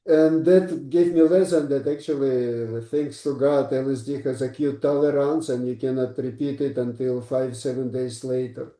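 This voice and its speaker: Older Russian-accented man, native Russian, halting broken English, deep dark tone, recorded roomy and far from the mic. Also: fairly even intonation. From born in Ukraine, raised in Russia. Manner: halting, frequent "uh" and "you know", broken English grammar. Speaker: psychiatrist guest. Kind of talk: casual conversational interview.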